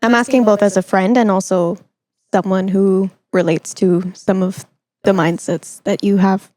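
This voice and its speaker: A woman's voice: mid-range voice, fairly even intonation, unhurried, mellow, no room sound. A young woman hosting a conversational interview in an encouraging, supportive way. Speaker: podcast host.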